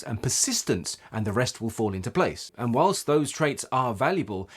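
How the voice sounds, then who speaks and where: Male voice coach for tutorial narration: mid-pitched, very animated intonation, expressive and emphatic instructional delivery. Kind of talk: instructional video explanation.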